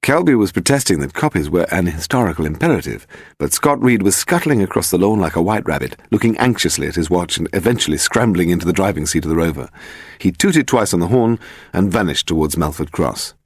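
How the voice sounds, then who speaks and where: A British man narrates fiction in a low voice with plenty of melodic movement. The reading is scripted storytelling, recorded close and dry. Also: animated, a little fast, slightly rough, no echo. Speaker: audiobook narrator.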